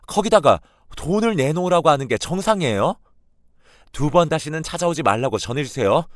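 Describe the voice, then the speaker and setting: A man's voice: mid-range voice, dry recording, studio quality, natural voice. A man voicing a young wife who reacts with shock and anger in a read-aloud story. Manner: shocked, then shouting. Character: young wife, hurt and furious.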